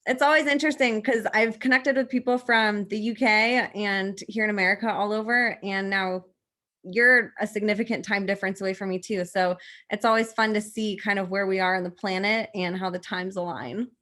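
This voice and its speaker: A woman's voice: mid-range voice, steady tone, normal speed, a bit bright. A young adult woman hosting a podcast interview over a video call, earnest and admiring. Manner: earnest, admiring, speaks in long flowing sentences. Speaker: podcast host. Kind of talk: interview introduction. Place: home room, indoors.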